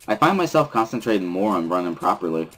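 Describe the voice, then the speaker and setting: A man's voice: low-pitched, sing-song, fast, fairly bright tone, a little hiss or hum. A man giving a first-person scripted narration, reading a visual novel aloud as a dramatic reading. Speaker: reader of a dramatic reading series.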